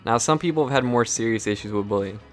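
A man's voice: mid-range voice, fast talker, soft background music. A man co-hosting, delivering a scripted, lightly jokey intro. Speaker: co-host.

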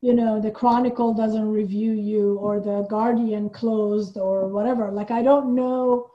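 A woman's voice: mid-range voice, fairly even intonation, a little slow. Woman from Iran, slightly muffled sound. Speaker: guest, playwright and theater artistic director. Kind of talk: conversational interview.

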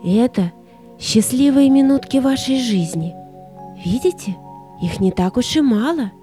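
Casting: actress as kindly old fairy for an audio play, mellow, measured delivery, mysterious air. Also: female voice, a little high, lively intonation, soft background music. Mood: gently playful. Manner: slow, deliberate, theatrical.

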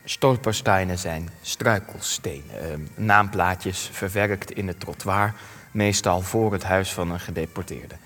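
Radio play role: old veteran, deep-ish, deliberate, defensive and tired, with long hall reverb. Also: male voice, fairly bright tone, heavily acted. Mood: grave, halting. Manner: deliberate, rhetorical, halting with hesitations. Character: weary, defensive elderly war veteran being interviewed. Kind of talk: Dutch radio play acting.

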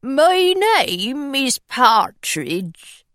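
A woman voicing a hapless male pirate with a thin, anxious voice, slow and apologetic. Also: sits high, big pitch swings, fairly bright tone, no room sound, studio quality, pitched above the performer's own voice, exaggerated voice.

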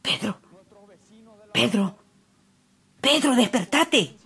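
An actress playing an anxious wife in a radio drama, speaking expressively with a Paraguayan accent. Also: female voice, on the high side, expressive, drawn-out words, vocal fry, a bit bright, breathy edge, heavily acted. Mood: frightened. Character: anxious wife settling into a haunted old house. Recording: music behind.

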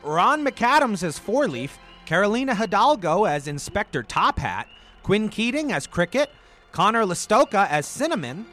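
Guy playing a friendly high-pitched radio play sidekick, coaxing, really animated, faint music. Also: moderate pace. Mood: affable announcing. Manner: coaxing, affable. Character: cheerful, placating male buddy and announcer. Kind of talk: comic radio play acting, credits reading.

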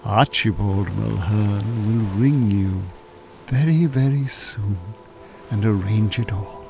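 A man's voice: fairly low pitch, takes it slowly, vocal fry, warm tone, faint music behind. A man with an Irish accent playing a calculating con-artist hypnotist in a radio drama, courteous, soothing and unctuous. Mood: soothing, hypnotic. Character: smooth, calculating male con-artist hypnotist posing as scientist.

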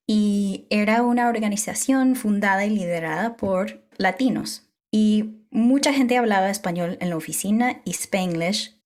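A woman's voice: medium pitch, darkish tone. Bilingual woman, English first language. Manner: reflective, laughs lightly. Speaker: podcast guest. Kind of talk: interview conversation.

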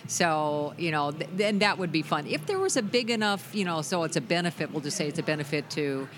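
A middle-aged woman with a deep voice, a musician guest chatting loosely in an interview, full of restarts and "you know". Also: lively intonation, brisk, noisy background. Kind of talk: casual interview chat.

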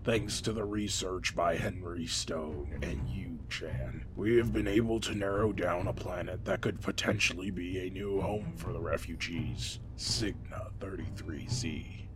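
A man acting as an authoritative military general in a sci-fi audio drama, speaking in a formal, measured, solemn way. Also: low voice, over music. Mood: grave, commanding.

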